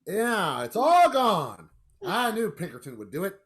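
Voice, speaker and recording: A woman's voice: mid-range voice, sing-song, a little slow, very noisy. A woman playing a confident, skeptical family father in a radio play, brash and hearty in manner. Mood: smug.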